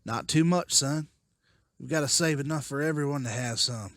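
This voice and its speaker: A man playing a weary, earnest leader of survivors, serious and halting, in a comic drama. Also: a little high, fairly bright tone, dry recording, clean studio sound. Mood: encouraging.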